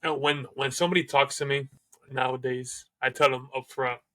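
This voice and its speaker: A man's voice: medium pitch, steady tone, moderate pace, bright, forceful tone. A man hosting an interview who stutters, talking casually with many fillers. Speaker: host. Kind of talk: conversational interview.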